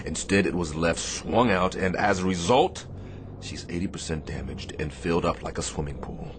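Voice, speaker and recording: An actor playing a stern, demanding but caring Navy captain in a radio drama, with a commanding, sardonic delivery. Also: male voice, low-pitched, natural voice. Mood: angry, scolding. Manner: commanding, sardonic, deliberate phrasing. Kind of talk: radio theatre acting. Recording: clear background noise, shipboard ambience.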